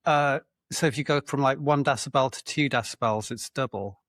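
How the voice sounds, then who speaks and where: A man's voice: mid-range voice, normal speed, a bit bright, no room sound, studio quality. A man co-hosting a podcast, chatting casually in a hesitant, hedging way and laughing often. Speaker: podcast co-host. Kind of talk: casual banter.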